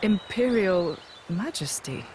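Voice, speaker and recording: A woman's voice: medium pitch, animated, takes it slowly, a little mellow, slight background noise, low-quality recording. A woman playing a questioning young companion in a video game cutscene. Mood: incredulous.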